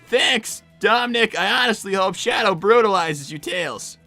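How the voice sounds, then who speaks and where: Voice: mid-range voice, expressive, moderate pace, bright, forceful tone, dry recording, soft background music. A performer voicing a young boy cartoon fox, nervy and sarcastic, stammering and repeating phrases in an improvised livestream roleplay.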